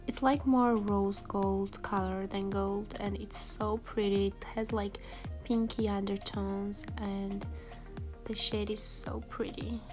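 A woman's voice: medium pitch, flat delivery, slow. A woman giving a casual, chatty tutorial voice-over in a self-deprecating way, like a beauty vlogger. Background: background music.

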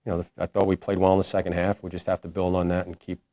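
A man in his forties, a head basketball coach, answering postgame questions in a halting way with many restarts. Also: low voice, monotone, dark tone, no echo. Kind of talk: postgame press conference answers. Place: indoor press room before a logo backdrop. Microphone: lapel mic.

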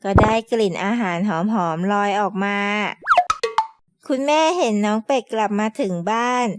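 A woman's voice: medium pitch, expressive, moderate pace, heavy background noise. A woman narrating a scripted children's story, explaining things to young listeners as friends.

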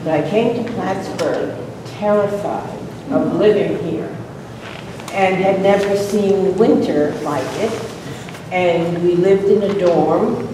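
Elderly woman, unhurried reminiscence, picked up far from the mic in a noisy room. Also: fairly low pitch. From Long Island, New York. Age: 80s. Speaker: college alumna, audience speaker. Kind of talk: personal reminiscence to an audience. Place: lecture room with seated audience. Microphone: distant camera microphone. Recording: echoey room, distant mic, clear noise.

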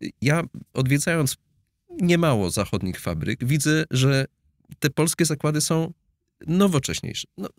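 A man's voice: fairly high pitch, expressive, measured pace, no room sound, studio quality. A middle-aged man explaining things as an analyst guest in an interview, with many hesitations and restarts. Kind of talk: conversational expert interview. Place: studio with blue backdrop. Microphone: studio mic on boom arm.

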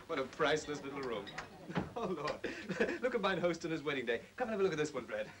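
Posh english captain in old 40s movie, smooth talker, very lively intonation, bit of hiss. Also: male voice, a little high, fast. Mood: breezy. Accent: upper-class English. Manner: suave, persuasive. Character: smooth, manipulative well-off English captain, fond of drink. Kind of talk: 1940s British film drama acting. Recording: hissy old film soundtrack.

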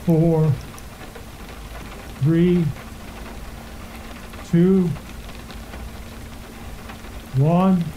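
Rule-obsessed landlord, measured menace, echoing room, faint rain-like noise, horror radio play. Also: male voice, fairly high pitch, fairly even intonation, mellow. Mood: ominous countdown. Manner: measured, polite, matter-of-fact menace. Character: quietly menacing, rule-obsessed rental owner and gardener. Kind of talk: audio drama horror acting.

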